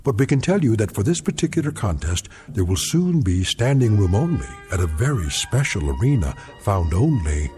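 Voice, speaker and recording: A man narrating an eerie anthology radio drama as a solemn, wry host, with deliberate, portentous phrasing. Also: on the low side, sing-song, warm tone. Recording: long reverberant hall sound, music behind.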